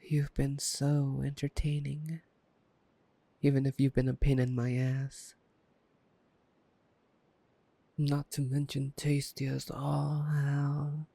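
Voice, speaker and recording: Man playing a gravelly, teasing predatory vampire. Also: medium pitch, monotone, takes it slowly, no room sound. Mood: soft, wistful teasing. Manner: teasing, drawling, softly menacing. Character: taunting, playful, predatory male vampire. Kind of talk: intimate ASMR roleplay monologue.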